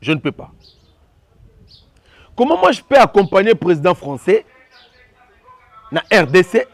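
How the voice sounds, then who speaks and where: A man from Congo giving impassioned opinion commentary, talking fast and repeating himself as he switches between French and Lingala. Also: sits high, gravelly, no echo.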